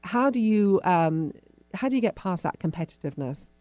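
Low-pitched woman interviewing on radio. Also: lively intonation, moderate pace, dark tone, no room sound. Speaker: radio show host. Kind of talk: conversational author interview.

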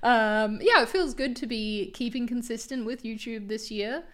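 A young woman co-hosting a podcast, her voice a little raspy from heavy use, chatting in a friendly, teasing way. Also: a little high, normal speed. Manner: friendly, teasing, laughs easily. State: slightly raspy from a long filming day. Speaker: podcast co-host. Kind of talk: casual chat.

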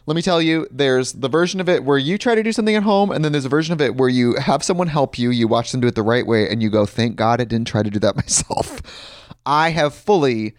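A man's voice: medium pitch, expressive, quick pace, no room sound, clean studio sound. Upbeat man reading a sponsor ad. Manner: upbeat, conversational with personal asides. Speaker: sponsor ad reader. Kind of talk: host-read ad.

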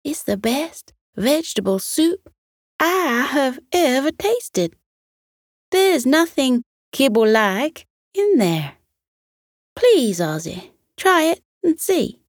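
A woman's voice: high-pitched, very animated, slow, no echo, studio quality, pitched above the performer's own voice, full character voice. A woman voicing a kindly, earnest female pig cook in a children's bedtime story. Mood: earnest, pleading.

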